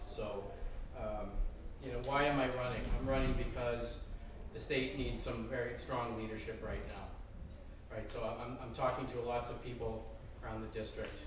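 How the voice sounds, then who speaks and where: A man's voice: mid-range voice, steady tone, normal speed. A middle-aged man introducing himself as a candidate at a town forum, earnest and repeating his words as he restarts. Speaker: political candidate. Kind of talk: campaign self-introduction. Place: wood-panelled town hall. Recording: echoey hall from far off, noisy.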